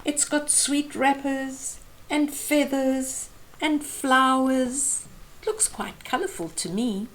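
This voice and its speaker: A woman in her fifties reading a children's picture book aloud expressively, with deliberate phrasing for young listeners. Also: high-pitched, a bit bright, rough recording. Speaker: storyteller host. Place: small room at home, indoors.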